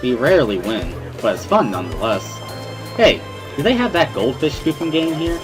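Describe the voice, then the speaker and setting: A man's voice: mid-range voice, very animated, moderate pace, natural voice. A man playing a reflective, easygoing schoolboy who narrates a dramatic reading in the first person. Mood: easygoing, eager. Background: music.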